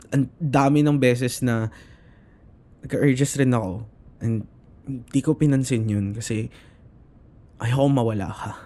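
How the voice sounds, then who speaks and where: A man with a Filipino accent playing a shy, affectionate boyfriend in his twenties in an intimate ASMR roleplay, speaking softly and hesitantly. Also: medium pitch. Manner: soft, hesitant, halting, slipping between Tagalog and English.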